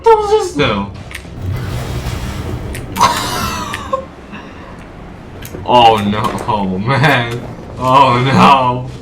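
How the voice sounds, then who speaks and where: A man's voice: mid-range voice, huge pitch swings, very slow, pauses often, noisy background. A man reacting casually to gameplay as a streamer, exclamatory and repeating himself. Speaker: gaming streamer. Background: game music.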